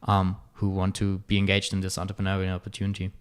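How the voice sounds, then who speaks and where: A man's voice: sits low, completely flat delivery, quick pace, clear, resonant tone. A young man from Germany, chatting on a podcast in a hesitant way, with many fillers. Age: young adult. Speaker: student club director, podcast guest. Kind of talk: casual podcast chat.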